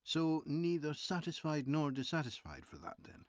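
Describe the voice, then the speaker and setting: A man's voice: a little high, sing-song, moderate pace, dry recording. Courteous male interviewer, methodical questions, varied intonation, slightly muffled dry recording. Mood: polite, businesslike. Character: courteous survey interviewer at a railway station. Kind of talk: scripted listening-test dialogue.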